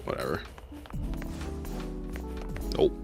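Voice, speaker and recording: A man's voice: extremely slow, music in the background. A man giving casual, slangy commentary as he plays through a video game. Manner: slangy, colloquial. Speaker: streamer playing a video game.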